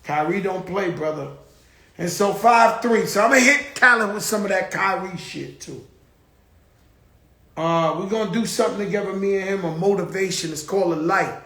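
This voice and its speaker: A man's voice: sits high, moderate pace, bright, forceful tone, reverberant. A man hosting his own show, talking in a blunt, profane, rambling casual monologue. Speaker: show host.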